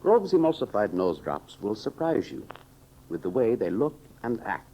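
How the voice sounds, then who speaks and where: Lively 1930s male radio announcer. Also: on the low side, moderate pace, slightly rough, lo-fi sound. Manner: dramatic, emphatic delivery. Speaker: radio serial announcer and narrator. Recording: noisy 1930s radio recording.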